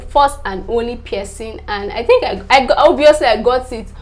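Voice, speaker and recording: A woman's voice: on the high side, moderate pace. A young Nigerian woman whose first language is Yoruba, chatting casually to camera as a vlogger, full of fillers, repeating "definitely" and laughing between answers.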